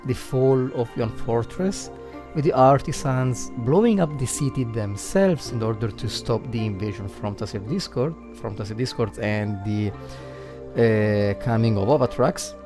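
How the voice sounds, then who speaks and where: A man's voice: medium pitch, measured pace, over music. A man with an Italian accent, a native Italian speaker livestreaming casual game commentary, thinking aloud and searching for words. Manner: hesitant, searching for words, reflective.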